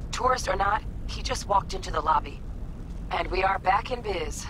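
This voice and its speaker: An actress playing a no-nonsense netrunner in a video game, giving terse, bossy instructions with a sardonic edge. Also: female voice, mid-range voice, animated, normal speed, noisy background, natural voice. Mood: dry, sardonic. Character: brisk, no-nonsense female netrunner directing a heist. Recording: music behind the voice.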